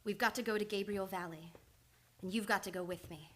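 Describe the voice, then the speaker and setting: A woman's voice: medium pitch, fairly even intonation, fairly quick, natural voice. A woman playing an earnest, insistent psychoanalyst in old time radio drama, urgent and probing.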